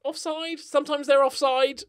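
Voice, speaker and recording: A man's voice: extremely high pitch, level tone, moderate pace. A middle-aged man giving casual, rambling commentary on a game, with a wry, self-mocking, jokey manner. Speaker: gaming video host.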